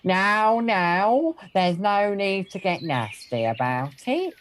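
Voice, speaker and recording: A woman playing an officious but placating bailiff in a radio pantomime, formal and halting. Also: medium pitch, lively intonation, slight background noise.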